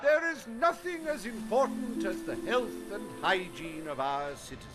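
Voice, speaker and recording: A pompous, falsely polite industrialist in a video game. His high voice swoops widely through grand, theatrical announcements. Music plays clearly underneath. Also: expressive. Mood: grand, self-satisfied. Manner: grandiose, drawn-out, unctuous.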